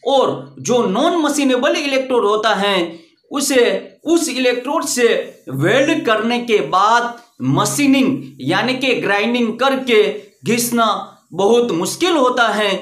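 A middle-aged man teaching welding at a whiteboard, explaining slowly and methodically with lots of repetition, on a lapel mic indoors. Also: high voice, fairly bright tone. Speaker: welding instructor. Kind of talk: whiteboard lesson. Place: indoor room in front of a whiteboard. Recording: very echoey room, distant mic.

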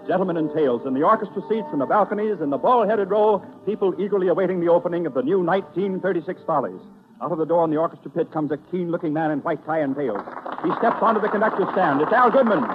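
Male narrator, 1930s radio drama: suspenseful, theatrical delivery over music on a narrow, tinny recording. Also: sits high.

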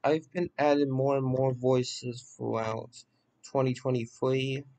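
A man presenting a software demo in a casual walkthrough, pausing haltingly between phrases. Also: medium pitch, little pitch movement. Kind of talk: casual screen-recording walkthrough. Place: voice-over on a screen recording.

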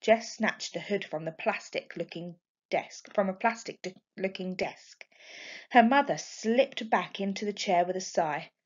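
A woman's voice: mid-range voice, unhurried. A woman reading a children's story aloud expressively, like a teacher to a class. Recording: dull lo-fi home recording.

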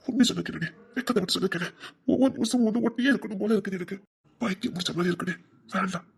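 A performer playing a possessive but tender young man in an audio romance drama, speaking softly and coaxingly. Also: mid-range voice, rapid-fire, gravelly, husky. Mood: pleading, insistent. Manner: soft, hushed, coaxing.

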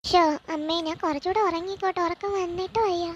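A performer voicing a lively little boy in a cartoon skit, pleading and stubborn in a whiny, childlike way. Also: very high-pitched, quick pace, dull audio, a little hiss or hum. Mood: sleepy, pleading.

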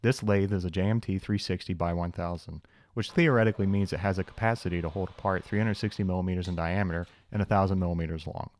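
A man's voice: sits low, fairly even intonation, quick pace, darkish tone. A young man narrating a scripted shop-tour voice-over, explaining machines with dry, wry humor. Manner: dry, wry humor with self-deprecating asides. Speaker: channel host, machinist.

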